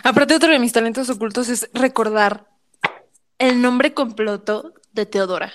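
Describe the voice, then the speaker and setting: Mexican woman, high-pitched, lively podcast co-host, casual chat. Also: moderate pace. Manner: lively, laughs, slips into impressions. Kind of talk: casual chat about childhood cartoons.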